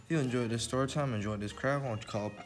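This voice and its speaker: A man's voice: mid-range voice, very fast, soft background music. A man hosting a library story time, presenting to children in the manner of a read-aloud and simple how-to.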